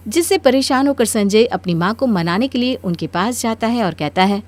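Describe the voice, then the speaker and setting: High-voiced woman narrating a story. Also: lively intonation, normal speed, a little mellow. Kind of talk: scripted Hindi cartoon story narration.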